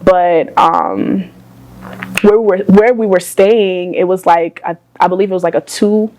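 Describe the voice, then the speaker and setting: A young woman with a slightly low voice answers interview questions. She speaks softly and pauses often with ums and small laughs. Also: fairly even intonation, slight background noise, low-quality recording. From born in Ghana, raised in the US. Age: young adult. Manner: soft-spoken, many ums and little laughs. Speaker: guest. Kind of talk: casual interview.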